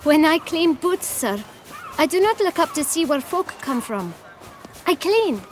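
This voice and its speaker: Very high-voiced, cheeky boy. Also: very animated, a little slow. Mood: guarded. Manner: glib, mock-formal salesmanship. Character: cheeky, enterprising young street bootblack boy. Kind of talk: video game voice acting.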